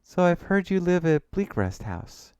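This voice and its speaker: A man's voice: high-pitched, sing-song, unhurried, a little mellow, clean tone, dry recording, muffled recording, voice pushed up, heavily acted. A man voicing a polite, curious young woman who keeps a shop, in a story. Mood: inquisitive. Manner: polite, inquisitive.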